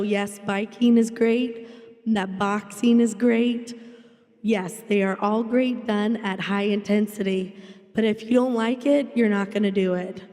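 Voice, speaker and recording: A woman therapist with a slightly scratchy, mellow voice speaks briskly and encouragingly. Her voice carries a long reverb from a conference hall. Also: medium pitch. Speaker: occupational therapist. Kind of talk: panel talk at a symposium.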